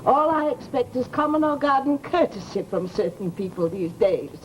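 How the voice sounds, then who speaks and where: A woman's voice: fairly high pitch, expressive, normal speed, a little hiss or hum, close to an everyday voice, low-quality recording. An actress playing a nosy, aggrieved widowed landlady with an American accent in an old-time radio horror drama, talking in a garrulous, dramatic way.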